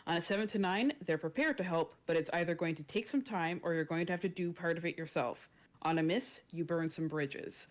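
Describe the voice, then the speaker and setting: A woman's voice: on the low side, fairly quick. A woman talking casually as a player explaining her tabletop game character. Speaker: tabletop role-playing player.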